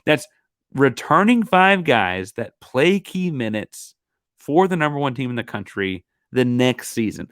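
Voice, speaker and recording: A man's voice: mid-range voice, sing-song, unhurried, dry recording. Man, sports podcast host, casual rambling chatter, fading voice, dry close sound. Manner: casual, rambling, many fillers and asides. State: losing his voice. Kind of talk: solo sports podcast analysis.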